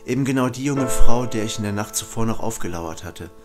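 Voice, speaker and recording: A man's voice: mid-range voice, lively intonation, brisk, over music, close to an everyday voice. A man playing a brooding, conflicted killer who narrates introspectively in an audio drama. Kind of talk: German audio drama acting and first-person narration.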